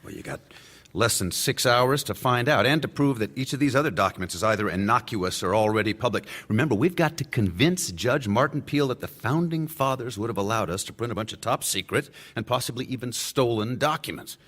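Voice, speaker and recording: An actor playing a wry, middle-aged lawyer and war veteran in a radio drama, talkative and argumentative. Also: male voice, mid-range voice, animated, fairly quick, fairly bright tone. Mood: worried, businesslike. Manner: sardonic, chatty, argumentative. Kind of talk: naturalistic radio drama acting.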